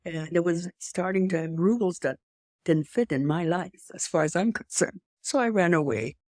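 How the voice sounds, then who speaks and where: A woman's voice: lowish voice, moderate pace, darkish tone, no room sound. Woman in her eighties, slight French accent, rambling storyteller, dry close sound. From Europe. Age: 80s. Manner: rambling, with restarts and emphatic asides. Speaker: interview guest. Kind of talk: personal storytelling in conversation.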